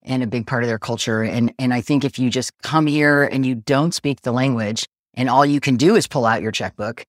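A woman's voice: very deep voice, brisk, few pauses, no echo, studio quality. A woman in her forties, a real estate agent, explaining the buying process in a casual interview and laughing easily.

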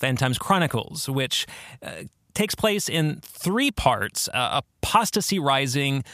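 A man hosting a podcast, explaining theology in a conversational, earnest way with expressive emphasis. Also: on the high side, no echo, clean studio sound. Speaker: podcast host.

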